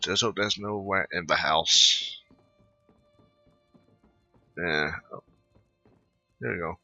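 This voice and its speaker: Relaxed male gamer, husky low voice, dry muffled recording. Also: takes it slowly, no echo, faint music behind. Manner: relaxed, rambling. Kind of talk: casual gameplay commentary.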